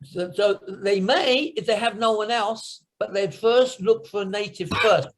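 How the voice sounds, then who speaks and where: A man's voice: sits high, measured pace. A man teaching English learners in an online class, explaining patiently, listing examples and checking understanding over a video call. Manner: patient, repeats and lists points, checks understanding with "right?".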